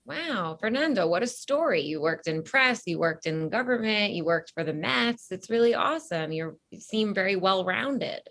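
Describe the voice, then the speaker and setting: A woman's voice: medium pitch, expressive, moderate pace, few pauses, a bit bright. A woman hosting a short candidate interview, reading a scripted intro and reacting enthusiastically. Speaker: interview show host.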